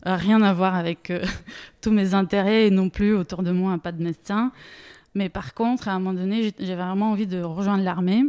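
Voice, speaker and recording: A woman's voice: mid-range voice, fairly even intonation, a little fast, a little mellow, clean tone. A woman, a guest in a casual interview, talking with many fillers and frequent laughter. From Bulgaria. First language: Bulgarian. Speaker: interview guest, data leader.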